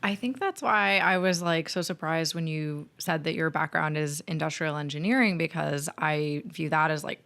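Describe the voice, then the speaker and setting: A woman's voice: deep voice, normal speed, gravelly, few pauses, a bit bright. A young woman co-hosting a podcast, chatting casually and saying "like" often. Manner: chatty, frequent "like". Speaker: podcast co-host. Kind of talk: casual chat.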